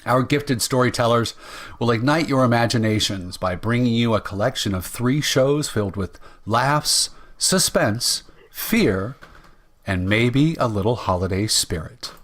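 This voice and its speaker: A man announcing as host of an old-time radio show, with measured, theatrical phrasing and deliberate pauses. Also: medium pitch, animated, fairly bright tone.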